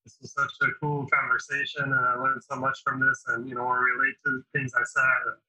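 A man's voice: medium pitch, monotone, a little fast, sharp tone. A man chatting casually in an interview, telling long anecdotes with many fillers. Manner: chatty, storytelling, lots of fillers. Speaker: drummer, interview host. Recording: dull lo-fi sound.

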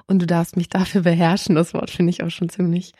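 Eine Frau als Podcast-Gastgeberin, die ruhig offene Fragen stellt und nachhakt. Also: female voice, lowish voice, fairly quick, no room sound, clean studio sound. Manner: calm, asks open prompting questions. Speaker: podcast host. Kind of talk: interview questions.

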